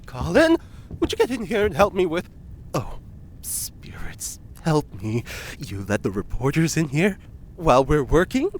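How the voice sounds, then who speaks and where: Casting: an actor as menacing, spirit-invoking lab worker, scolding, extremely animated pitch, audio drama. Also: measured pace, slight background noise. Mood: irate. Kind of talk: audio fiction podcast acting.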